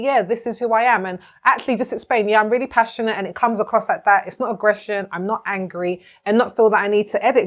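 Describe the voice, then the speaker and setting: A British woman speaking earnestly and reflectively, her voice sitting at an ordinary middle pitch. She talks in a candid conversational way.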